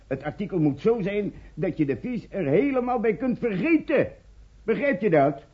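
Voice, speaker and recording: An actor playing a bossy, cynical newspaper editor in a radio play, curt and commanding, on an old recording. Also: male voice, high voice, very animated, moderate pace, husky, dull audio, heavily acted. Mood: insistent, instructing. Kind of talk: Dutch radio play acting.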